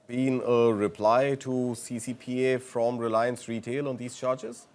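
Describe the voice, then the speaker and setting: A man's voice: medium pitch, little pitch movement, unhurried, a little mellow. A man with an Indian accent presenting the news and interviewing as a broadcast anchor.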